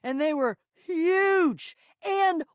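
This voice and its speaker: A woman voicing an excitable, bragging adventurer in a video game, earnest and theatrical, often stammering. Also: extremely high pitch, very slow, dry recording, studio quality, heavily acted. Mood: excited, boastful. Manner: stammering, theatrical, swinging from gushing to sulky. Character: excitable, bragging adventurer, a living household object, earnest and dramatic. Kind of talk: video game character voice acting.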